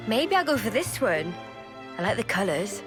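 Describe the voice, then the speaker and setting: A woman's voice: mid-range voice, big pitch swings, normal speed, over music, close to an everyday voice. A woman playing a composed, frank young soldier in a video game, matter-of-fact.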